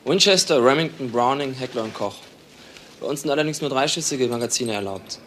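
An actor as a quick-talking male weapons expert. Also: mid-range voice, animated, fairly bright tone, natural voice. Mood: matter-of-fact. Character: matter-of-fact male police weapons expert. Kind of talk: German crime radio drama acting. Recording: faint background noise.

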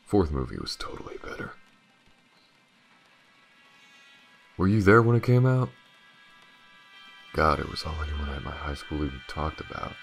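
A man playing a world-weary but tender detective in an intimate audio roleplay, with halting, shy, stammering speech. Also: sing-song, soft background music, heavily acted. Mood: teasing, nostalgic. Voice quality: very deep, gravelly, husky. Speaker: voice actor. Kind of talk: audio roleplay, intimate acting addressed to the listener.

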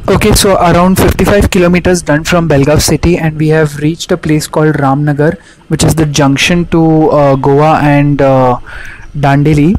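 A young man with an Indian English accent talks over his motorcycle ride, stopping often on "uh" as he picks his next words. His voice sits a little high and holds an even, level tone. Road and wind noise from the helmet camera runs under him. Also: normal speed, rough recording. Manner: hesitant, frequent "uh" fillers. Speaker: moto-vlogger. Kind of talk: casual travel narration. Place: outdoors on a motorcycle. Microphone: helmet-mounted action camera. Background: road and engine noise.

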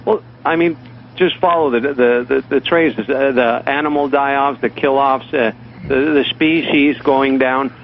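Male phone-in guest, mid pitch, halting with lots of fillers, phone-quality audio. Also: steady tone. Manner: hesitant, many fillers. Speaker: radio panel guest. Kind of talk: talk radio discussion. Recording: tinny phone line with faint hiss.

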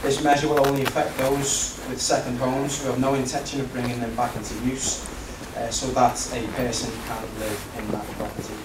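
A man's voice: mid-range voice, little pitch movement, brisk, few pauses. A man serving as councillor, making a formal prepared debate speech in a large council chamber. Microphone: recorded from the back of the room. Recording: distant, echoey, noisy council chamber recording.

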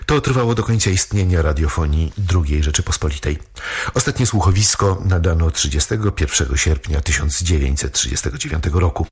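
A man narrating a documentary in a formal, measured way. His voice is deep and very husky. Every sentence sounds carefully scripted.

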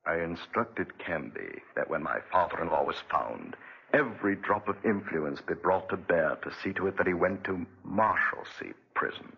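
Cruel obsessive gentleman, imperious, theatrical, husky, wide-swinging pitch, vintage radio drama. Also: lively intonation, normal speed, full character voice. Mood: brooding narration. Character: vengeful, obsessive wealthy gentleman, gloating and cruel. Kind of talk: 1940s radio acting. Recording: muffled vintage 1940s radio recording.

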